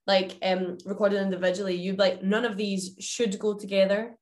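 A woman's voice: mid-range voice, flat delivery, normal speed, darkish tone. A young woman interviewing casually, enthusiastic, swearing freely and using plenty of fillers. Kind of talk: casual chat.

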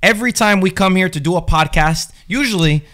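A man with a slightly high voice hosts a live sports podcast from a studio. His delivery is casual and conversational, full of banter with his co-hosts. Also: brisk, a bit bright. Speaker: podcast host.

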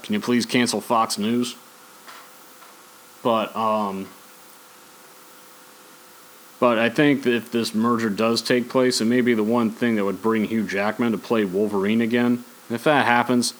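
Guy doing a podcast, mid-pitched voice, rambling casually and giving his opinions. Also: low-quality recording. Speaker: podcast host. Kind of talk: casual opinionated podcast talk on sports and movies.

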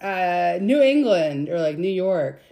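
A woman co-hosting a casual podcast chat. She talks slowly in a soft-edged, mellow voice, with faint noise behind her. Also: lowish voice, expressive, gravelly. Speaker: podcast co-host.

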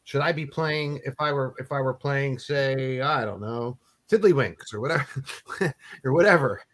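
Male co-host, casual and animated, quick to laugh, rambling storyteller. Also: medium pitch. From Philadelphia area. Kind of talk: casual chat.

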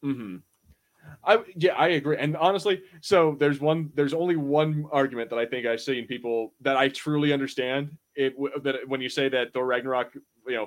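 A man co-hosting a podcast in casual review banter, telling things in slangy, animated fashion and acting out quotes. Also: medium pitch. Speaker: podcast co-host.

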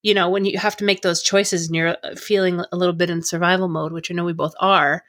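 A woman's voice: lowish voice, little pitch movement, fast talker, a bit bright. A woman co-hosting a podcast in casual chat, candid and jokily self-deprecating. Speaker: podcast co-host.

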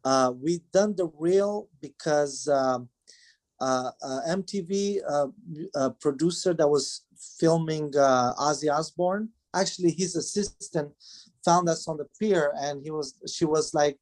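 A man's voice: a little high, unhurried, darkish tone. A man in his forties from Romania, speaking English with a Romanian accent as a second language, answering interview questions hesitantly with many filler sounds. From Transylvania, Romania. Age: 40s. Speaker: guest, band manager and father. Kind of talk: casual interview chat.

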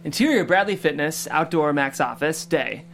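A man's voice: on the high side, expressive, normal speed, creaky, a bit bright, slight background noise. A man who hosts a table read and reads out the stage directions. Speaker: stage directions reader and host. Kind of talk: scripted narration.

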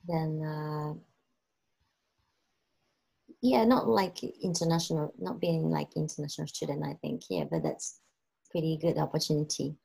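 A woman whose first language is Japanese, co-hosting a casual chat in halting English with frequent pauses and "uh.". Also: low voice, animated, creaky, mellow, husky, poor audio. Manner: halting non-native English, frequent "uh" and "then". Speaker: podcast co-host.

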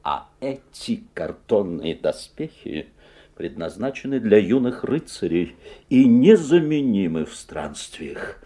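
An actor playing a mysterious magic-shop salesman in a 1970s radio play, showy and slyly courteous, with unctuous patter and a husky voice. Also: fairly low pitch, sing-song, takes it slowly, warm tone, exaggerated voice. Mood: grandiose patter.

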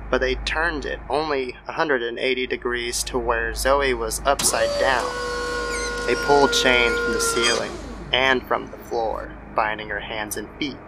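A man reads a scripted horror tale as narrator. His mid-pitched voice has heavy gravelly fry, and clear noise sits behind it in the recording. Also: fairly even intonation, a little slow. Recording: noisy background ambience.

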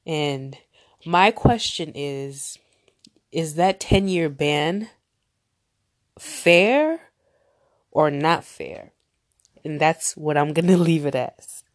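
A woman's voice: low-pitched, lively intonation, very slow, pauses often, no room sound, studio quality. A woman hosting a casual solo podcast, sounding nasal from a cold and talking in a chatty, rambling way with tangents. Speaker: podcast host.

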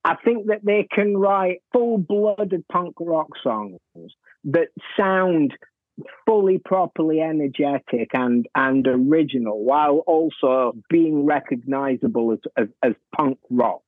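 A man with a slightly high voice speaks as a guest on a music podcast, eager and enthusiastic, often tripping over repeated words. He comes through a thin, phone-like remote line that sounds dry. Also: unhurried, no room sound. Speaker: author and music journalist guest. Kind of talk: casual music chat.

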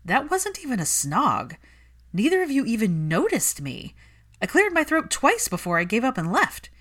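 A woman's voice: fairly high pitch, huge pitch swings, normal speed, fairly bright tone, pitched above the performer's own voice, exaggerated voice. A woman voicing a stubborn, frank young witch in a story reading, direct and probing. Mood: disbelieving.

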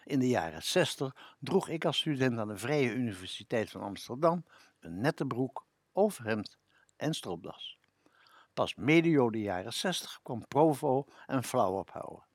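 A man's voice: medium pitch, lively intonation, measured pace, breathy edge, no echo, clean studio sound. A man in his seventies recounting memories on a local radio show. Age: 70s. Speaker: radio presenter.

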